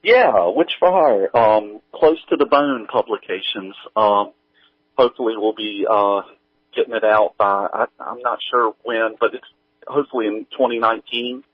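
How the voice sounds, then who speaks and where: A man talking casually as an interview guest, halting and hesitant as he explains things over a remote call. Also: lowish voice, dry recording. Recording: tinny, narrow phone-like line.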